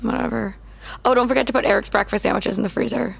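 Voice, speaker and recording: Gravelly young woman, guarded and wry. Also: on the high side, steady tone, fast, dry recording, full character voice. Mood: wry, teasing. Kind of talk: naturalistic audio drama acting. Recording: clearly noisy ambient sound bed.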